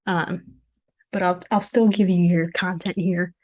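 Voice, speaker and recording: A young woman with a hoarse voice, hosting her own podcast in casual, chatty solo talk from her dorm room, with self-deprecating jokes. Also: on the low side, little pitch movement, a little slow, darkish tone, muffled recording. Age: young adult. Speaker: podcast host and founder. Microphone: desk mic at a computer.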